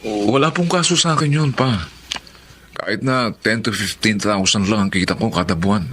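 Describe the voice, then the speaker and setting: A man's voice: fairly low pitch, moderate pace, a bit bright, breathy edge. An actor playing a meek young writer, a son who answers his family hesitantly and apologetically. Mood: defensive. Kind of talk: audio drama acting.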